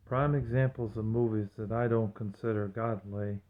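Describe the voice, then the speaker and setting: A man with a fairly low voice talks in an informal, sermon-like monologue. He sounds earnest and admonishing, like a religious vlogger cautioning his viewers. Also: steady tone, normal speed, narrow, tinny audio, a little hiss or hum. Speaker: vlogger giving religious commentary.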